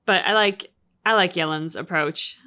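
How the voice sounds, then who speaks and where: A woman's voice: lowish voice, normal speed, bright, forceful tone. A woman casually talking through her reactions to a game as a reaction show host.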